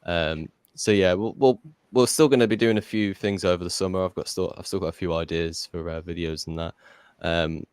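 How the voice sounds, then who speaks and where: A man's voice: deep voice, steady tone, brisk, clear, resonant tone, dry recording, clean studio sound. A young man hosting a casual podcast, rambling with lots of fillers. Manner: rambling, filler-heavy, chatty. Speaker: podcast host.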